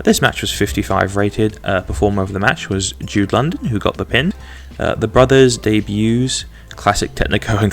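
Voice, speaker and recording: Man, gravelly low voice, chatty game-commentary host with rambling asides. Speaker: gaming video host.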